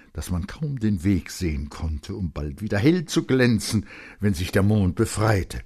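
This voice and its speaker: Deep, husky male literary narrator. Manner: expressive, suspenseful, deliberate phrasing. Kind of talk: dramatic literary radio narration.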